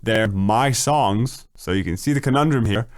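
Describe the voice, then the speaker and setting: A young man chatting casually on a late-night stream, answering viewer questions, swearing freely and jumping up in pitch when excited. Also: moderate pace, dry recording, clean studio sound. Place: home at night.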